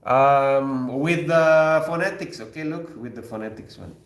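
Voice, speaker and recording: A man's voice: medium pitch, takes it slowly, gravelly, echoey room. A man with an Indonesian accent, a Sundanese native speaker, hosting a casual live chat and talking with viewers, joking and teasing with many fillers and restarts. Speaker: host of a live chat stream.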